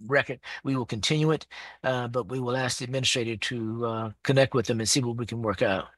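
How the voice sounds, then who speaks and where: A man chairing a public appeals board hearing over a video call, speaking in a measured, procedural way. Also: medium pitch, fairly even intonation, fairly bright tone, dry recording.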